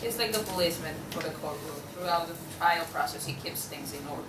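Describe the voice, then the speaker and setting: Reasonable juror pig played by a lower-voiced young woman. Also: close to an everyday voice. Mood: explaining. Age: young adult. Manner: measured, explanatory, persuasive. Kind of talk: student table read of a comic courtroom play. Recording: distant, echoey room with clear background noise.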